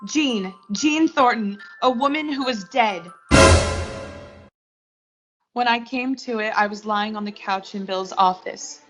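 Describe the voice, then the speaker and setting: A woman's voice: a little high, takes it slowly, pauses often. A young woman playing an anxious, lovestruck office worker in a 1940s-style suspense radio drama, dramatic and pleading. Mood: tense recounting. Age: young adult. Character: anxious lovestruck female office worker narrating her ordeal. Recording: dull lo-fi audio, music behind.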